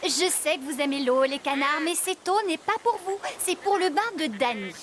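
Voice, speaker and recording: A woman playing a kind, brisk young farmer in a children's cartoon, over noisy background ambience. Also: high voice, lively intonation. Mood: firm.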